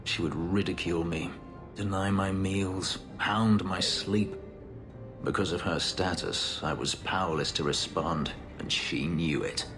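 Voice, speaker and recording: Deep, grave ex-slave elf warrior; controlled, clipped; reverberant game audio with music. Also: male voice, steady tone, a little slow. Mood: remorseful. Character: brooding elven male ex-slave warrior, bitter. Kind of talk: video game voice acting.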